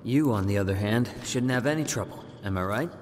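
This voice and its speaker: An actor playing a cool, guarded young underworld henchman in a video game, laconic, unhurried and detached. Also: on the low side, animated, a little mellow, slight background noise, natural voice. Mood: dry, knowing. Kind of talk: video game cutscene acting.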